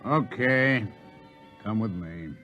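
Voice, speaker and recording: An actor playing a big, menacing government agent in 1950s radio drama, curt, gruff and bossy. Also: male voice, lowish voice, expressive, slow, highs cut off. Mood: curt, threatening. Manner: curt, commanding, threatening. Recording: vintage 1950s radio recording, music underneath.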